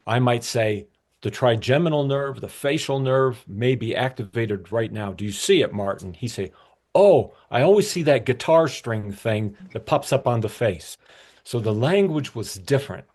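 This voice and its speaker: A man speaking as an expert guest in an interview, explaining in measured, halting short phrases. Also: mid-range voice, a little mellow. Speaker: guest expert, brain scientist.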